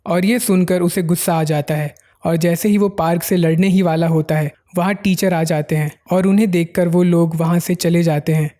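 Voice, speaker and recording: A recap narrator tells the story in a steady flow, linking one scene to the next without pause. The tone is mellow and dark rather than bright. The pitch sits in a middle range.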